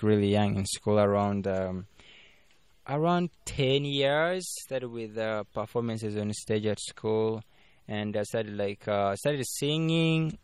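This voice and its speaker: A man's voice: fairly low pitch, fairly bright tone, clean tone, no echo. Rambling young man, dry close sound. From Uganda. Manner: rambling, frequent fillers and restarts. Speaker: filmmaker guest. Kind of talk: casual interview chat.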